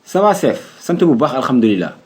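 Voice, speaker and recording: An actor playing a respectful young street coffee seller in a comedy cartoon, with a Senegalese accent. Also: male voice, mid-range voice, very animated, a little fast, lightly acted. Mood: respectful, puzzled.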